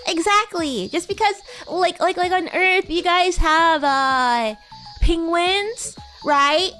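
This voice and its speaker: A woman's voice: very high-pitched, slow, bright, forceful tone, soft background music, voice pushed up, full character voice. A woman voicing a bratty, playful young demon schoolgirl in a girlish voice, chatting in character on a roleplay livestream. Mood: playfully insistent. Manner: playful, giggly, teasing. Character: bratty young demon schoolgirl class president with baby wings. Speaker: VTuber streamer.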